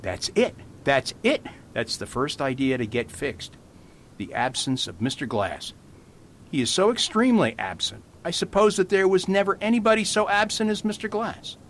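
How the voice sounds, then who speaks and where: Man as mild priest sleuth, lively pitch, patient, radio play, faint rumble. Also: moderate pace. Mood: whimsical. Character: gentle priest detective, calm and playful. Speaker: Father Brown. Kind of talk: amateur radio drama acting.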